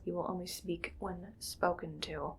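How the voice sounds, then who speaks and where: A woman playing a bossy, musing female mad scientist in a whispered ASMR roleplay, speaking in a breathy, clinical, quietly menacing way. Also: lowish voice, level tone, slight background noise. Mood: stern, commanding. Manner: slow musing, clinical, domineering. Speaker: ASMR performer.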